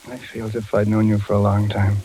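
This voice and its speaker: A man's voice: lowish voice, monotone, a little fast, mellow, husky. An American man playing a determined, suspicious but tender engineer in danger, in the style of 1940s radio suspense. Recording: noisy old radio recording with crackle.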